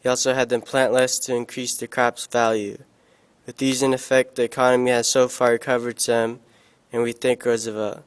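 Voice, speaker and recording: Young man, student newsreader, monotone, clear smooth voice. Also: mid-range voice, normal speed. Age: teens. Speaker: student news reporter. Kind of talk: school project news report.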